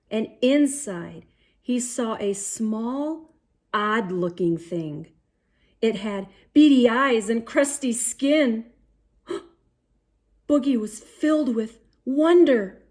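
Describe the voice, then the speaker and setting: A woman storyteller reading aloud for children in an expressive, theatrical way. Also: fairly high pitch. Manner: theatrical, deliberate delivery with dramatic gasps and exclamations. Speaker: storyteller, channel host.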